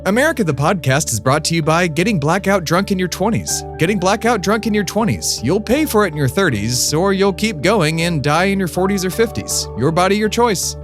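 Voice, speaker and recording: High-voiced male comedy sidekick played by a man. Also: lively intonation, a little fast, few pauses, over loud music. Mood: mock-salesman. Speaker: co-host and sponsor announcer. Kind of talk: scripted comedy podcast dialogue and mock ad read.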